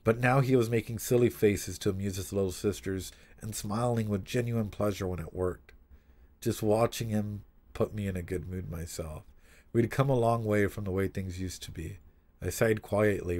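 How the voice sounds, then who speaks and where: Casting: male streamer with a lowish voice, reading aloud and reacting casually, animated and loose with asides. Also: normal speed. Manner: animated, profane, frequent asides. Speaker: streamer and psychologist commentator.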